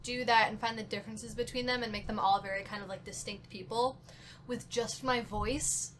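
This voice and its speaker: A woman's voice: a little high, fairly even intonation, moderate pace. A woman chatting informally on a panel, effusive and given to swearing. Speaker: voice actor on a podcast panel. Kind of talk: casual group chat. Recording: bright with some background noise.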